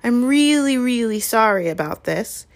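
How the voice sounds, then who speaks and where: Woman voicing an earnest, high-pitched schoolgirl. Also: animated, a little slow, no echo. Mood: sorry, miserable. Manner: earnest, apologetic. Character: earnest schoolgirl, apologetic and anxious.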